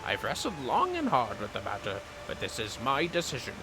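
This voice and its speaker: A man's voice: medium pitch, wildly swooping pitch, fairly quick, background noise. A man voicing a grave, anguished warrior mentor from a game, with solemn, theatrical, archaic oratory. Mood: anguished resolve. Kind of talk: dramatic reading of game dialogue.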